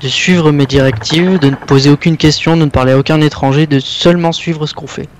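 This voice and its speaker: A man playing a tabletop game, calmly laying out plans. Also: on the high side, fairly quick, slight background noise. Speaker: player. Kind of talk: casual play at a tabletop game.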